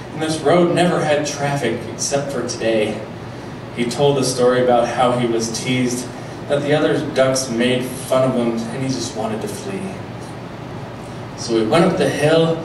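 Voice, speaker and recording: Guy reading a joke kids story live, echoey noisy room, mic kinda far. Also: medium pitch. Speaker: comedic storyteller. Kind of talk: live reading of a mock children's rhyme. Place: live venue stage before an audience.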